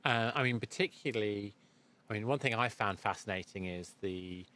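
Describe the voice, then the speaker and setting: Male podcast host, lowish voice, conversational, feels his way into each question. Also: normal speed, sharp tone. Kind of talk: conversational interviewing.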